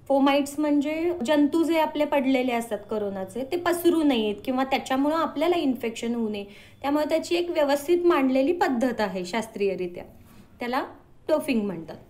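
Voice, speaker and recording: High-voiced woman doctor. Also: a little mellow. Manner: explanatory, mixes in English medical terms. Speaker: doctor, podcast guest. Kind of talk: conversational interview answers.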